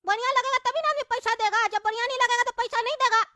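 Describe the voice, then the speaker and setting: A woman voicing a cheeky bald man who wheedles and whines in a squeaky voice. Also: very fast, sharp tone. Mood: wheedling. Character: whiny cheeky bald male customer. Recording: noisy recording with clicks.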